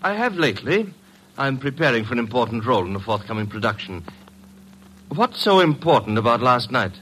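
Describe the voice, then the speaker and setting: A man's voice: medium pitch, sing-song, a little fast, fairly bright tone. A man playing a self-important, easily flustered stage actor in an old-time radio drama, with affected, florid delivery. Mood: flustered, defensive. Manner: affected, florid, hesitant under pressure. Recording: noisy old radio recording.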